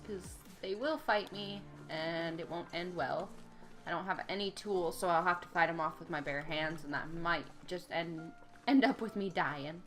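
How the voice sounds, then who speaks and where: A young adult woman with a cold, sounding a bit nasal and stuffed up, chatting casually as she plays a survival game and thinks aloud. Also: on the low side. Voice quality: slightly nasal. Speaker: let's play gamer. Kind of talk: casual gameplay commentary.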